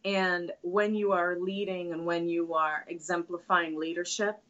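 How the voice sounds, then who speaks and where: A woman's voice: fairly low pitch, little pitch movement, unhurried, fairly bright tone. A woman, answering interview questions in an earnest, reflective way with frequent hesitations. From Trinidad and Tobago. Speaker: guest. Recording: dull, lo-fi sound.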